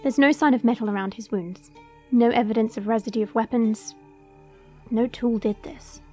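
A woman's voice: on the high side, moderate pace, a little mellow, close to an everyday voice. A woman playing a young dragon princess who is superior toward humans, in an audio drama. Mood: cool, curious. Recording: music playing behind. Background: background music.

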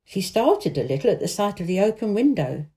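A woman narrates a children's story from the page. Her voice is soft-edged and mellow, at a middling pitch for a woman. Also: very animated, brisk. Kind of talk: scripted narration of a children's novel.

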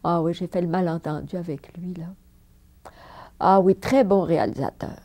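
A woman's voice: on the low side, normal speed. An older woman from France with a Parisian accent, an actress recalling her career in a seated interview, talking emphatically and repeating words for stress. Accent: Parisian French. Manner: animated, emphatic repetitions, frequent laughs. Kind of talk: reminiscing interview. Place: living room with fireplace, indoors.

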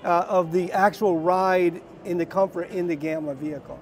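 A man's voice: high voice, fairly even intonation, normal speed, a little mellow, slight background noise. A man in his forties hosting a casual, unscripted interview on a clip-on mic. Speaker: channel host, interviewer. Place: large indoor car show hall. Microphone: clip-on wireless lapel.

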